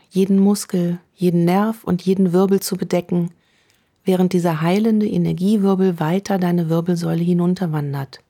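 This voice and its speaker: A woman guiding a meditation in a slow, calm, soothing voice, speaking affirmations to the listener. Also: fairly low pitch. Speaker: meditation guide. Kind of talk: guided meditation.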